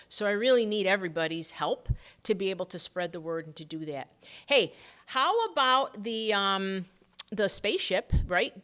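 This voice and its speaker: A middle-aged woman hosting a spiritual podcast, talking earnestly and heartfelt, dropping to a soft hush on reflective passages. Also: medium pitch. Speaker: podcast host.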